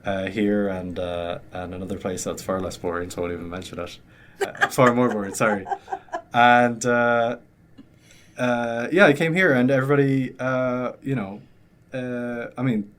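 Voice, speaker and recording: A man's voice: fairly low pitch, moderate pace, fairly bright tone, slight background noise. A young Irish man in his twenties chatting casually as an interview guest, rambling with lots of fillers. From Ireland. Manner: rambling, many fillers and restarts. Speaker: graduate student guest.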